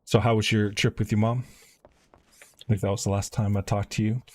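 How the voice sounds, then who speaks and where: A man livestreaming a game, chatting casually with viewers in a slightly husky voice. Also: fairly low pitch, little pitch movement, moderate pace, darkish tone. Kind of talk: casual livestream chat and reading game dialogue aloud.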